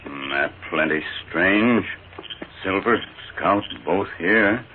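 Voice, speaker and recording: Terse, low-voiced radio western sidekick. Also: male voice, expressive. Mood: stoic, curious. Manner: clipped pidgin English, terse, deliberate. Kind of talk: 1940s radio western acting. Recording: tinny, noisy 1940s radio recording.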